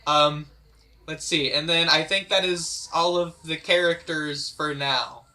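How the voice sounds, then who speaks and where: Higher-pitched man with a very bright voice. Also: fairly even intonation, a little slow. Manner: rambling, repeats words as he searches for them. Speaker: host and writer of an amateur script table read. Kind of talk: casual group chat.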